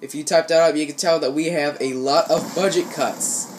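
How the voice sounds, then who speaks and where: A man's voice: fairly high pitch, fairly even intonation, moderate pace. A young American man telling casual stories over gameplay, with slangy, swear-heavy talk. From Ohio, United States. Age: teenager or young adult. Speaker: gaming YouTuber. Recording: noisy home recording, gameplay sounds behind. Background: shooter game sounds.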